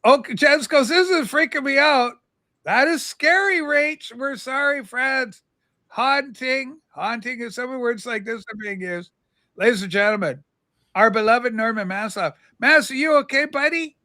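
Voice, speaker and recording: Bright, high-voiced woman co-hosting. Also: unhurried, no room sound. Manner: laughs a lot, teasing and excitable. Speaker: livestream co-host. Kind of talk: casual chat.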